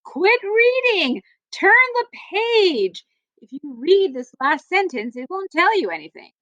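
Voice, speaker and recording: A woman reading a picture book aloud to children in an expressive way, speaking directly to her listeners. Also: extremely high pitch, a little slow, no echo, highs cut off.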